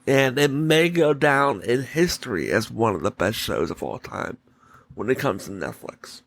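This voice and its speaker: A man hosting a solo podcast, giving opinion commentary in deliberate, halting short phrases. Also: medium pitch, expressive, gravelly. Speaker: podcast host.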